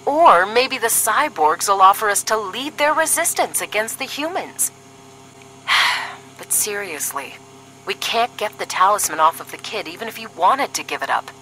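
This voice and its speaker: A woman acts out a dry, sarcastic young female agent in an audio drama. Her voice is medium in pitch and clearly bright, serious and a little weary, with faint noise behind it. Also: sing-song, moderate pace, poor audio. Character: sardonic, duty-minded young female paramilitary agent, weary sister. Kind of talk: scripted audio drama dialogue.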